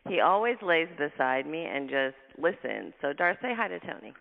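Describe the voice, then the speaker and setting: A woman with a husky, gravelly voice hosts a radio interview, talking fast and effusively and sounding travel-tired. She comes through a tinny phone line with a long reverb tail. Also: low voice, sing-song. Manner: fast, effusive talker, laughs often. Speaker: radio show host. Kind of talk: casual radio interview chat.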